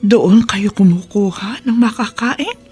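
A worried middle-aged mother, played by a woman in a Filipino radio drama. She talks slowly in a mid-pitched voice over faint music. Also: mellow, close to an everyday voice. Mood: concerned. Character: middle-aged mother at her son's bedside, concerned.

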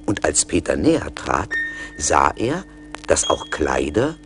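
A man's voice: extremely low pitch, big pitch swings, slow, noisy background. A man narrating a fairy tale dramatically, in the manner of a 1980s radio play record. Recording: music behind the voice.

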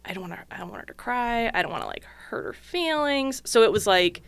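A young woman chatting candidly and jokingly as a podcast guest in a small studio, talking into a boom mic. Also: animated, brisk, vocal fry, clear, resonant tone, no echo. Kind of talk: casual chat. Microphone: studio mic on boom arm, headphones.

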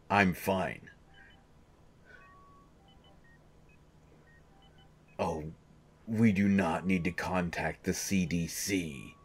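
A man's voice: low-pitched, breathy edge, full character voice. A man playing a grumpy, irritable coach who grumbles and complains defensively in an audio drama. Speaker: voice actor.

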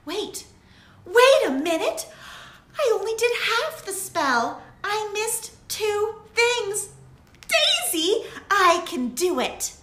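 An excitable young witch girl's voice, pitched high and bright, as a woman puts her on for a bedtime story. She talks in breathless, pleading bursts, heard in an echoey room with soft music behind. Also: slow pace, heavily acted. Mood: excited.

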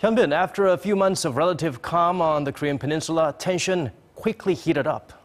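A man's voice: a little high, brisk. A man in his thirties presenting scripted news as an anchor in a TV studio.

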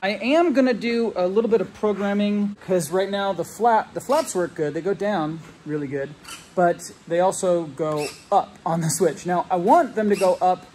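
A man's voice: sits high, moderate pace, a little hiss or hum. Rambling man talking to his phone camera. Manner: relaxed, rambling, with fillers and restarts, explains technical setup. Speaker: RC plane hobbyist vlogger. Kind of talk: casual walkthrough vlog. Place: large metal hangar, indoors. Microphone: handheld phone camera held close.

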